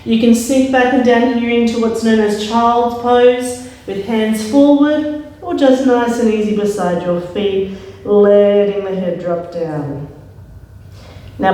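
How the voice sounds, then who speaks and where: A woman leading a yoga class with calm, gentle spoken cues. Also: on the high side, unhurried. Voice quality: slightly raspy when straining. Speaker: yoga instructor. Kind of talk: guided yoga class instruction. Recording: very echoey room, mic at a distance.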